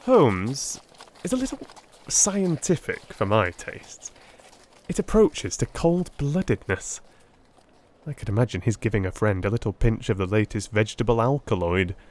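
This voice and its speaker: A man voicing a hesitant, gossipy young gentleman with emphatic, animated delivery in a dramatised Victorian audiobook. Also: fairly low pitch, normal speed. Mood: uneasy, confiding.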